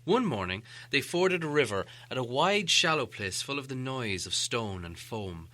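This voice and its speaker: Very bright, animated male storyteller. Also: medium pitch, moderate pace. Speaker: audiobook narrator. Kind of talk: expressive scripted storybook narration.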